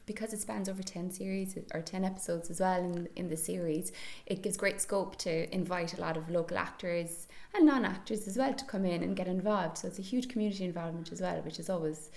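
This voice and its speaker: A woman speaks in a measured, appreciative way in an interview. Her voice sits low and has a gravelly, creaky edge. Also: darkish tone. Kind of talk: casual interview.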